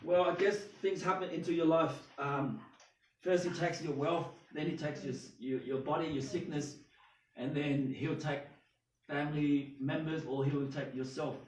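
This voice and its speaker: Hoarse Australian man, echoey from afar. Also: a little high, fairly even intonation, a little mellow, slight background noise. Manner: halting with many restarts and fillers. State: hoarse, losing his voice. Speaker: congregation leader. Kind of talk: sermon and spoken prayer. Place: small indoor synagogue. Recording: echoey room from far off.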